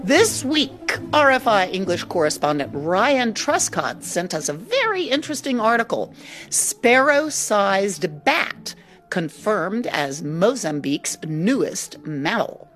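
Lively woman presenting a radio show. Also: mid-range voice, slow, faint music behind. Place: radio studio.

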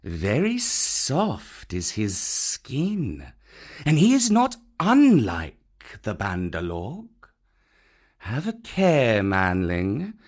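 A man reading a storybook aloud, voicing a huge old python who talks slowly and slyly. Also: mid-range voice, exaggerated voice. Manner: slow, drawn-out, sly. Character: huge old python, slow and menacing, sly.

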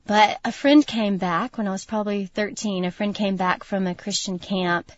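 A woman's voice: medium pitch, fairly even intonation, moderate pace, dry recording. Earnest woman, thirties, radio guest telling her testimony; muffled, dry sound. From North Carolina, USA. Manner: earnest, reflective, laughs often. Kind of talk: personal testimony in a radio interview. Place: radio studio.

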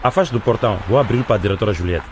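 A man's voice: lowish voice, very fast, slight background noise, close to an everyday voice. A man playing an officious, brusque school gate guard in a radio drama, deferential to his boss.

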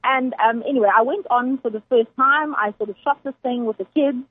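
Woman, South African accent, husky, fairly high-pitched, chatty and emphatic, casual interview, dry narrow phone-like sound. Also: brisk. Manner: chatty, emphatic, laughs often. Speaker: chef, guest. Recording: dry phone-like radio line.

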